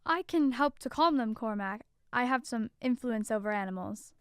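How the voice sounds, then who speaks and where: A woman acting in an audio drama as a gentle, helpful fairy noblewoman with a polite, formal manner. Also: fairly high pitch, expressive, normal speed, clear, resonant tone, no room sound, clean studio sound. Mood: calm, helpful.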